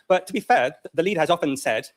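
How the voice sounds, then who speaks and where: Quick-talking male councillor. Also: fairly high pitch, expressive. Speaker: local councillor. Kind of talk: informal political speech.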